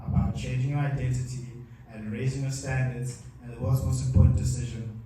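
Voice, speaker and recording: A man's voice: mid-range voice, level tone, fairly quick, mellow, recorded from a distance, a little hiss or hum. A man giving a live motivational masterclass, emphatic and repeating his key lines. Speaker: motivational speaker. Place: large hall with a live audience. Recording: echoey hall mic heard from afar.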